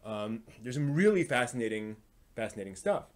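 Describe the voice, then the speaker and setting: A man hosting a live stream, talking in a casual, analytical way and often halting as he thinks aloud. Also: mid-range voice, lively intonation. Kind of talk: casual rambling live commentary on anime and comics.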